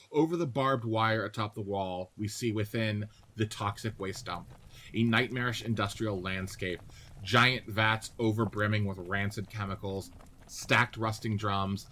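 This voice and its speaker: A man's voice: lowish voice, fairly bright tone, a little hiss or hum. A man narrating a radio-play script, reading out stage directions and setting each scene descriptively.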